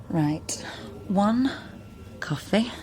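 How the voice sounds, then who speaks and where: An actress playing a wry, weary but brisk woman researcher in a naturalistic radio drama, dryly muttering to herself. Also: mid-range voice, mellow. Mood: weary, grumbling.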